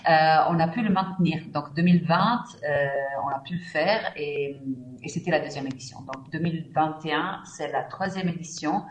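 A woman's voice: low-pitched, normal speed, slight background noise. Hesitant Italian woman in an echoey room. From Italy. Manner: hesitant, many fillers and restarts. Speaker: festival partnerships manager, guest. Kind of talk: radio interview.

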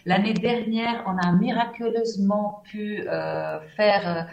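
A woman's voice: medium pitch, steady tone, slow, gravelly, a little mellow, reverberant, muffled recording. A middle-aged woman from Italy, whose first language is Italian, answering questions in a radio interview, hesitating often with fillers and restarts. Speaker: festival partnerships manager, guest.

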